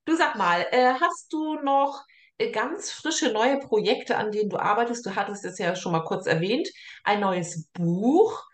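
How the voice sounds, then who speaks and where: A woman's voice: mid-range voice, expressive, a little slow. A woman hosting a casual, chatty interview, recorded with an echoey, roomy sound.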